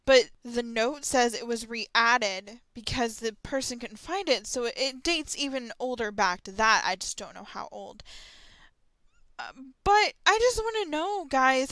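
A woman's voice: a little high, bright, forceful tone, no room sound. A young adult woman talking informally and a little tentatively, as in a casual solo audio log. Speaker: vlogger and host of an audio log. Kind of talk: casual rambling solo chat about horror stories.

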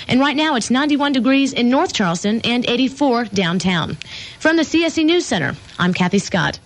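A woman's voice: a little high, lively intonation, brisk. A woman anchoring a scripted radio newscast from a studio, reading news and weather as an announcer.

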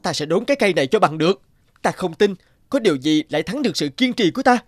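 Animated, high-voiced young woodcutter. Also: male voice, quick pace, dry recording, studio quality. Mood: indignant, determined. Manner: exclamatory, talking to himself. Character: earnest, dogged young male woodcutter, strong and kind.

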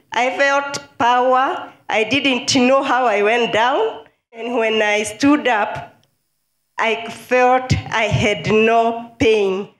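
A woman's voice: on the high side, echoey room. A Kenyan woman whose first language is Kikuyu, giving an earnest, grateful healing testimony.

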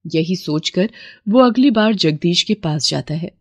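A woman's voice: lowish voice, expressive, unhurried, husky, dry recording. Woman narrating a cartoon story.

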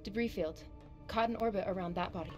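An actress playing a steady, wry ex-navy spaceship crew member in a video game, with a measured, understated delivery. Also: female voice, mid-range voice, faint music behind, natural voice. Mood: matter-of-fact.

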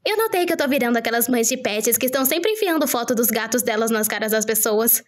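A woman with a Brazilian accent playing an excitable young cat owner, narrating an animated storytime fandub in a cutesy, expressive way. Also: on the high side, fast. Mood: chatty narrating.

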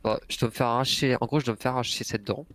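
Hesitant young man explaining slowly. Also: medium pitch, steady tone, a bit bright, no echo. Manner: slow, hesitant explaining with many fillers. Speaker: friend in voice chat. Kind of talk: casual voice chat.

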